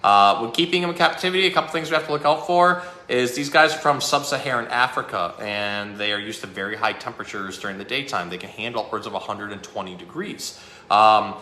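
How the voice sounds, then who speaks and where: A man's voice: on the low side, fairly quick, pushed and bright. A man presenting animals as a zookeeper, talking casually and informatively in a roomy space. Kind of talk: casual educational talk about animals. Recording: echoey, roomy sound.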